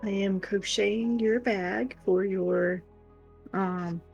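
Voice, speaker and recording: Female livestream co-host, mid-pitched with heavy vocal fry, informal and a little unsure, relaxed conversational style. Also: takes it slowly, muffled recording, faint music behind. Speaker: co-host doing crochet. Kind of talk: casual chat.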